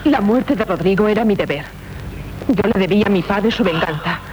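A woman's voice: mid-range voice, a little fast, highs cut off, background noise, natural voice. An actress with a Castilian accent playing a proud, bitter young noblewoman in a 1970s radio drama, declaiming solemnly and theatrically. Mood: anguished. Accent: Castilian Spanish.

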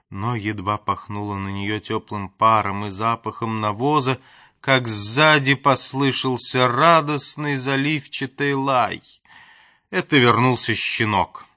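Bright-voiced man narrating a storybook, dry sound. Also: mid-range voice, dry recording. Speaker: audiobook narrator. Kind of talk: expressive scripted storybook narration.

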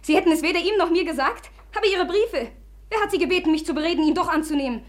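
A proud young lady of good society in a German radio play. Her voice is high, formal and indignant. She sounds accusing and jealous. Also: animated, quick pace. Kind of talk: German radio drama acting.

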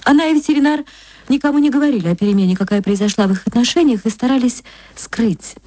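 Husky woman narrating a literary story. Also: a little high, fast, dark tone, no room sound. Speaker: actress reading a short story. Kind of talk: expressive dramatic literary narration for radio.

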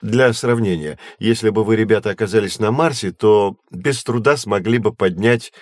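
An actor playing a patient, knowledgeable teacher in an educational audio drama, explaining in measured, clear phrases. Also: male voice, lowish voice, no echo, lightly acted. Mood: explanatory. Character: patient, knowledgeable male astronomy teacher guiding children.

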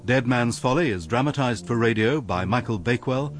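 A man with a British accent reading formal scripted radio drama credits in a measured, clearly enunciated manner. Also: mid-range voice, soft background music. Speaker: radio continuity announcer.

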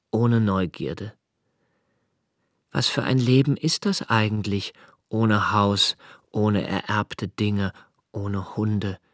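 A brooding young poet. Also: male voice, fairly low pitch, no echo, clean studio sound. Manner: slow, measured, contemplative. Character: brooding young male poet, 28, solitary and reflective. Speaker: first-person narrator of a radio play. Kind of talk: literary radio drama narration.

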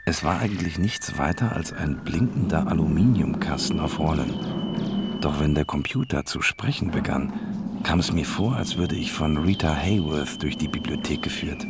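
An actor playing a world-weary, broke private detective, narrating a noir radio drama in a laconic, wry way. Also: male voice, extremely low pitch, normal speed, very noisy. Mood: reflective.

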